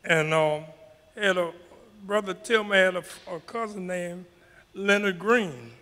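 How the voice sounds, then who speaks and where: A man in his nineties with a high, bright voice answers questions haltingly, often repeating his first words. He speaks into a handheld mic in a community hall, and his voice rings out with a long echo. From New Orleans, Louisiana. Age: 90s. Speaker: panel guest, Mardi Gras Indian elder.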